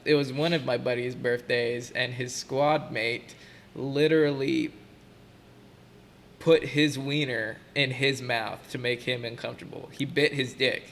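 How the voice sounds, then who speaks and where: Young male podcast guest, gravelly and sharp-toned, laid-back slangy storyteller, echoey room with a faint hiss. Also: mid-range voice, steady tone, a little slow. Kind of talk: casual chat.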